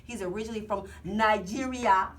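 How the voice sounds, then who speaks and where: A woman's voice: mid-range voice, fairly quick. A woman hosting a casual talk show, teasing her co-host and chatting in loose slang. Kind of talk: casual chat. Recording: distant mic with faint hiss.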